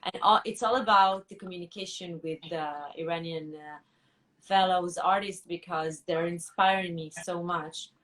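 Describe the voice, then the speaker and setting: A woman musician answering interview questions thoughtfully, pausing and restarting often as she searches for words. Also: lowish voice, steady tone, fairly bright tone, recorded from a distance, poor audio. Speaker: musician guest.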